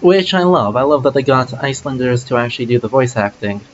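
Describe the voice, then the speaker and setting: A man's voice: medium pitch, lively intonation, fast, highs cut off. A man streaming a game, musing aloud casually about his choices as he plays. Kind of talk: let's play commentary.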